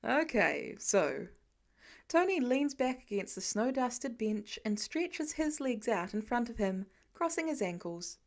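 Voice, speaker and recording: A woman's voice: fairly high pitch, animated, measured pace, hardly any pauses, a little mellow. A woman reading fiction aloud as an amateur audiobook narrator, in scripted storytelling style.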